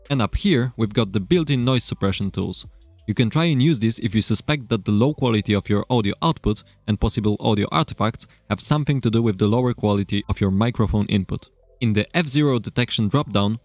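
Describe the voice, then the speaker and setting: A man's voice: fairly low pitch, no echo. A man narrating a scripted tutorial in an explanatory, step-by-step way, recorded as a close-miked voice-over. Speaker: tutorial presenter. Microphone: close mic voice-over.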